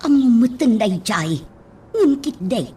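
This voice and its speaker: An actress playing a deferential, anxious household nanny in a radio drama, with noise in the background. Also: female voice, a little high, very animated, measured pace, warm tone.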